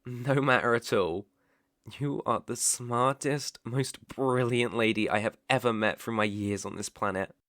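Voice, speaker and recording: A man plays a devoted British butler in an ASMR roleplay. He speaks in a mid-pitched male voice with formal, deferential phrasing and a softly attentive manner. The sound is close and dry, with no room around it. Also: unhurried, no room sound, lightly acted. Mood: concerned, soothing. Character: polite, devoted young male butler, gently teasing.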